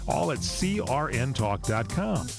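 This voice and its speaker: A man announcing promotions for a talk radio network in a sales-pitch style. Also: big pitch swings, unhurried, vocal fry. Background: music bed.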